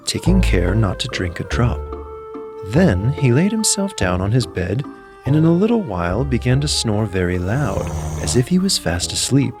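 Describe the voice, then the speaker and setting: A man narrating a classic fairy tale from a script in a measured storyteller's manner. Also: on the low side.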